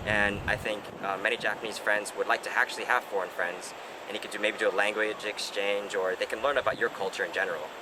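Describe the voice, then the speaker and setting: A man's voice: fairly low pitch, fairly even intonation, quick pace. A young man hosting a casual chat, talking hesitantly with lots of fillers and restarts. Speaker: host. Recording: noisy outdoor street.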